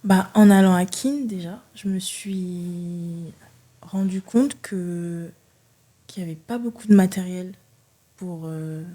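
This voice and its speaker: A woman's voice: fairly low pitch, slow, warm tone. A woman in her early twenties from France, answering casually and a little hesitantly as an interview guest. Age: early 20s. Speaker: podcast guest, judo athlete.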